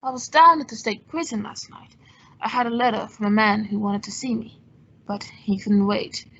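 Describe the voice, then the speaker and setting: A woman playing a suave, wry gentleman sleuth, polished and teasing, in an old-time radio detective drama. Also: mid-range voice, normal speed, slightly rough, dull audio. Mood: cool, polite.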